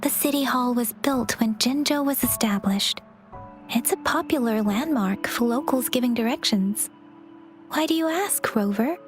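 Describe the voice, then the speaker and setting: A woman voicing a gentle, earnest, caring young companion in a video game, polite and soft-spoken. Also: fairly high pitch, normal speed, faint music behind, natural voice. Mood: earnest, curious.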